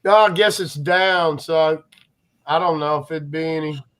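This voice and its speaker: A man's voice: a little high, normal speed, a bit bright, clear, resonant tone. A man chatting on a livestream, hesitant and trailing off mid-sentence. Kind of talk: casual livestream chat.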